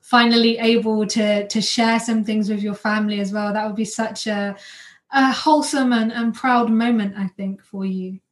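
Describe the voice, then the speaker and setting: A woman's voice: mid-range voice, fairly even intonation, moderate pace. A woman hosting an interview, asking long, friendly, rambling questions. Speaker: podcast host. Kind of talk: casual interview. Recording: echoey room.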